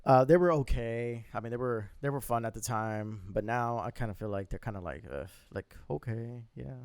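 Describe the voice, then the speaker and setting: A man's voice: medium pitch, normal speed, a little mellow, smooth, no room sound, studio quality. A young man co-hosting a podcast, chatting loosely and reading out a list with laughs and swearing. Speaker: podcast co-host. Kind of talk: casual chat.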